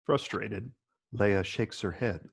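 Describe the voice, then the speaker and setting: A man reading stage directions as scripted narration in a measured, descriptive way. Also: fairly low pitch, vocal fry, husky.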